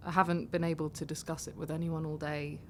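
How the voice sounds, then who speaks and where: An actress playing a candid, vulnerable young woman taxi passenger in an audio drama, confiding in a halting, self-deprecating way. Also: low voice, little pitch movement, slight background noise, lightly acted. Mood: nervous, confiding.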